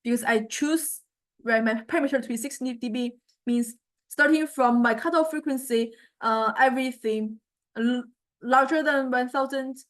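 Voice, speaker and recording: A woman giving an informal software demonstration as a lecturer, thinking aloud in a halting, self-correcting way. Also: on the high side. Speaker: music technology lecturer.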